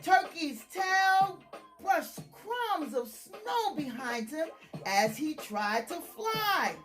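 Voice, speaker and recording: A woman reading a children's story aloud in an expressive, dramatic storytime style with drawn-out words. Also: extremely high pitch, soft background music.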